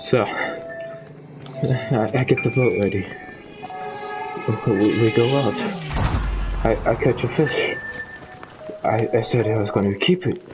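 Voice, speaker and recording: A man improvising a comic story for his friends in a halting, deadpan manner. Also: fairly low pitch. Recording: loud music behind. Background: loud music.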